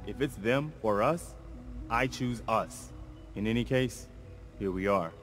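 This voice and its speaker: An actor with an American accent playing an earnest, resolute, principled militia soldier in a video game, speaking firmly. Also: male voice, lowish voice, a little slow, fairly bright tone, faint music behind. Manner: earnest, firm. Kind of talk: video game dialogue acting.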